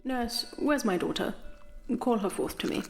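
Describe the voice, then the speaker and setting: A woman's voice: on the high side, lively intonation, a little slow, over music. A woman playing a formal, commanding noblewoman and mother in a Shakespearean audio play.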